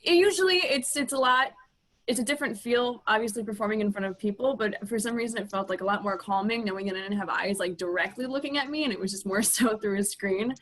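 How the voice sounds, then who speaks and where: A woman's voice: medium pitch, fast, fairly bright tone. A woman chatting informally on a video call, peppering her talk with "like". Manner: informal, frequent "like". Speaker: actress on a post-show panel. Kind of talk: casual chat. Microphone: video call microphone.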